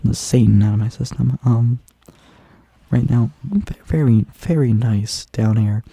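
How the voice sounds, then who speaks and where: A man's voice: medium pitch, measured pace, vocal fry, mellow, breathy edge, no room sound. A man with a stuffy, congested nose, casually commentating a game tournament, rambling with lots of ums and restarts.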